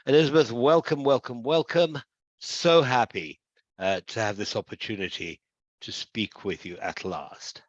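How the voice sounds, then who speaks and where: A man's voice: medium pitch, a little slow, dry recording. Middle-aged man, tutor-host, friendly rambling delivery, dry dull call audio. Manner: hesitant and warm, lots of restarts and fillers. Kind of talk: casual interview chat. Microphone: video call.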